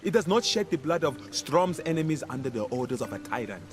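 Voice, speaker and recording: Man acting a grim city guard, animated delivery. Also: mid-range voice, brisk, lightly acted. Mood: bitter, brooding. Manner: dramatic, brooding, earnest. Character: grim, embittered male city guard, loyal to townsfolk. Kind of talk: fantasy video game voice acting. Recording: game music behind.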